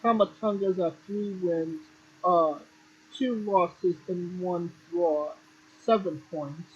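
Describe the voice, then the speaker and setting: Podcast host, a man with a husky voice, plain and matter-of-fact with offhand swearing, muffled home mic. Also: high-pitched, steady tone, slow pace, a little mellow. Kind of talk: casual wrestling chat.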